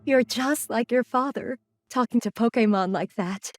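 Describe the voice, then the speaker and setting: A woman playing a kindly, gently teasing character in a video game cutscene. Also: on the high side, normal speed, no room sound, close to an everyday voice.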